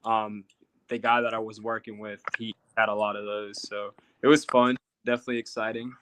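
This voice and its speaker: A man's voice: fairly low pitch, level tone, fairly quick, a bit bright, slight background noise. A young man from Puerto Rico, a guest telling rambling stories in casual chat with many fillers.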